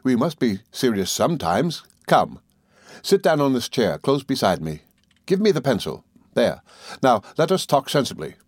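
A man voicing an earnest, loving young husband in an audiobook, reasoning gently and formally. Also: mid-range voice, sing-song, normal speed, no room sound, clean studio sound. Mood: tender coaxing. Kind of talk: audiobook character dialogue.

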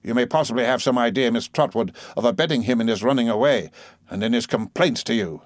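Man doing a stern cold gentleman, formal and clipped, dry close sound. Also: mid-range voice, fast talker, dry recording, close to an everyday voice. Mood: coldly stern. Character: stern grim middle-aged man, cold and unyielding.

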